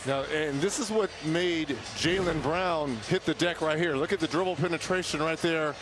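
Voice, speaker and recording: A male colour analyst with a fairly high voice comments live on a basketball game. He breaks down the plays while clear background noise runs behind him. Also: normal speed.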